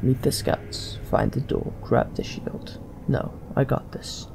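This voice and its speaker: A man narrating his video game playthrough in role, low-key and unhurried, part commentary and part in-character lines. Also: creaky, noisy background. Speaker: let's play gamer. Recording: game music behind the voice.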